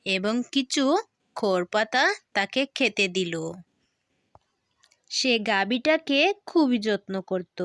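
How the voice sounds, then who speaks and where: Female cartoon narrator: medium pitch, slow storybook delivery, scripted. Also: no echo, clean studio sound.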